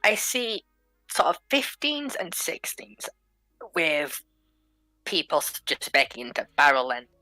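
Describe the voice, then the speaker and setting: A woman's voice: deep voice, big pitch swings, unhurried, pushed and bright, no room sound, soft background music, low-quality recording. A woman arguing insistently in a casual technical debate as one of a panel. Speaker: panelist in a group discussion.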